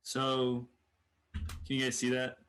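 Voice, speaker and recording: A man's voice: mid-range voice, monotone, takes it slowly, a bit bright, slight background noise. A young man presenting his home security project to a class, explaining hesitantly over a video call.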